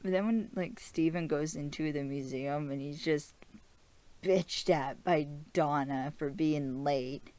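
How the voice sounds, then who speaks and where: A woman with a low voice hosts a casual fan recap of a TV episode. She rambles in a friendly way, leaning on fillers and breaking into small giggles. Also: slow pace, warm tone. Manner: chatty, filler-heavy, giggly. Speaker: podcast host.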